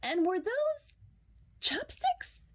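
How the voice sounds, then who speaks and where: A woman storyteller reading a children's picture book aloud in a dramatic, suspenseful way, sometimes hushing her words. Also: extremely high pitch, wildly swooping pitch, extremely slow.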